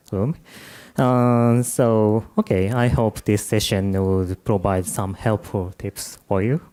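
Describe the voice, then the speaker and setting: A man's voice: fairly low pitch, warm tone. Japanese-accented man giving a tech talk. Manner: halting, with many uh and um fillers. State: jet-lagged. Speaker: conference speaker. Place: conference room.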